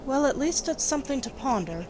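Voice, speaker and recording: A woman's voice: high-pitched, sing-song, brisk, noisy background. A woman playing a young elf recruit in a fantasy game, asking questions in an inquisitive, direct manner. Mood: curious. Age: young adult. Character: young female elf recruit, curious and frank. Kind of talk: video game dialogue. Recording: loud game music, poor amateur microphone.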